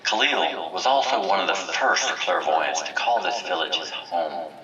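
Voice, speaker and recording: An actor playing a stern, solemn village leader giving measured, commanding oratory in an audio drama. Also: male voice, deep voice, bright, forceful tone, echoey room, recorded from a distance, highs cut off, slight background noise. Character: stern, solemn village leader presiding over a memorial and judgment. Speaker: community leader. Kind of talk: formal speech in an audio drama.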